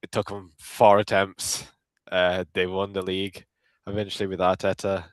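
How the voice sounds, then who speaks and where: A man's voice: deep voice, completely flat delivery, normal speed, no echo. A man from England, co-hosting a football podcast, blunt and opinionated, laughing often. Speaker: podcast co-host. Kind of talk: casual podcast chat.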